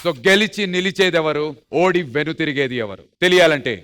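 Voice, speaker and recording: A man's voice: a little high, a little fast. A man hosting a dance reality show, introducing judges and contestants with showy energy, mixing English and Telugu. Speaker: host. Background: show music.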